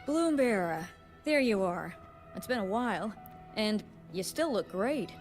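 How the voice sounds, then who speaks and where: A woman's voice: mid-range voice, animated, a little slow, lightly acted. An actress playing a confident, businesslike female colleague in scripted anime-style video game dialogue. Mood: confident, familiar. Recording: faint game music behind voice. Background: faint game music.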